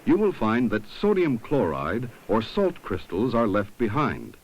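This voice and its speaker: A man reading scripted 1970s documentary narration in a measured, instructive way, on an old film soundtrack. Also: on the low side, wildly swooping pitch, poor audio.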